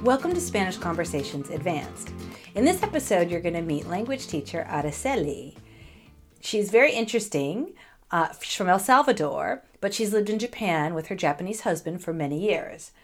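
A woman hosting the interview, speaking with lively, expressive intonation and asking slow, deliberate questions. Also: lowish voice, soft background music. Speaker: host. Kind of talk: interview hosting and scripted intro.

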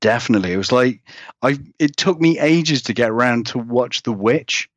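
A man's voice: medium pitch, animated, moderate pace, dry recording. A British man chatting casually as an interview guest, rambling and acting out remarks as he goes. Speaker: guest horror writer.

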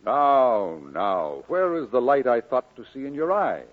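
An actor playing a kindly, earnest village preacher, exhorting in a measured 1940s radio style with a husky voice. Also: male voice, mid-range voice, big pitch swings. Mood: encouraging. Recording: narrow, phone-like old radio recording.

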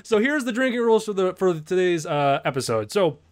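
A man's voice: high-pitched, very animated, fairly quick. A man hosting a casual movie review podcast, rambling and laughing often. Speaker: podcast host.

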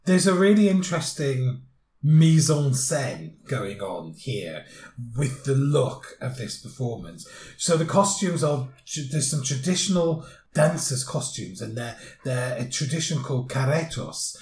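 A man's voice: medium pitch, sing-song, a little slow, darkish tone, roomy sound, a little hiss or hum. A man hosting a podcast, describing things in a casual, descriptive way with lots of fillers. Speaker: podcast host. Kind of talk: casual chat.

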